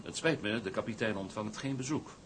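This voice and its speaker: An actor playing a formal, deferential hotel receptionist in a drama, clipped and obliging. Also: male voice, fairly low pitch, a little fast, fairly bright tone, slight background noise, close to an everyday voice.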